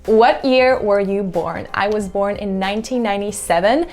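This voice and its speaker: A woman's voice: mid-range voice, normal speed, darkish tone, faint music behind. Chatty young woman from Latvia. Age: 20s. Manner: chatty and reflective, laughs at herself. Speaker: YouTuber. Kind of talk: casual get-to-know-me vlog.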